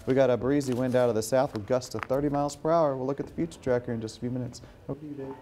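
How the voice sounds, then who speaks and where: A man's voice: mid-range voice, fairly even intonation, racing, warm tone, a little hiss or hum. An American man giving an off-the-cuff TV weather forecast. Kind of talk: unscripted weather commentary.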